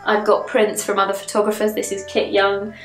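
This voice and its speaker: A woman's voice: mid-range voice, monotone, a little fast, soft background music. A young woman musing aloud to camera as a photography vlogger, recorded in a small bathroom. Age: young adult. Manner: thoughtful, musing, asks questions aloud. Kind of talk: casual reflective talk to camera. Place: small tiled bathroom, indoors. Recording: roomy small-bathroom echo.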